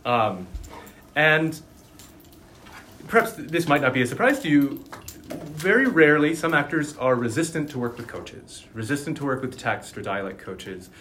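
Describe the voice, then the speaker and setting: A man explaining his work as a dialect coach in an interview, articulate and enthusiastic, speeding into quick bursts when he gets excited. His pitch sits mid-range for a man. A faint hum of ambience sits behind him. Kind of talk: conversational interview.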